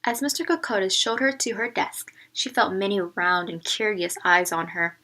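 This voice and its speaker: A woman teacher reading a picture book aloud, narrating and pausing to explain it to young listeners. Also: mid-range voice, lively intonation, sharp tone.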